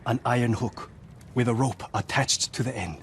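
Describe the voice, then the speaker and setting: A man acting a gentle, humble young blacksmith in a video game cinematic, modest, hesitant and deferential. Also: mid-range voice, moderate pace, slight background noise, natural voice. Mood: humble sincerity.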